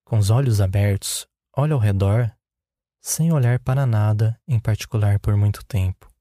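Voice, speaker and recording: A man with a Brazilian Portuguese accent leading a guided meditation in a calm, unhurried, instructional way. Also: lowish voice, dry recording, clean studio sound.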